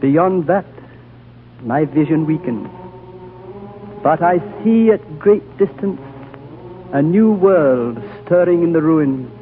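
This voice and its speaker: An actor playing an ancient, wise and gentle high lama with a solemn, fatherly, oratorical manner, in the style of 1940s radio drama. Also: lively intonation, slow, pauses often, a little hiss or hum. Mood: prophetic, solemn. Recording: tinny 1940s radio recording over music.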